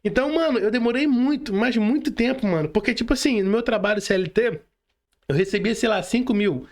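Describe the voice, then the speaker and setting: A man's voice: high voice, brisk. A man with a Brazilian accent hosting a casual interview, speaking in slang and repeating words for emphasis. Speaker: podcast host.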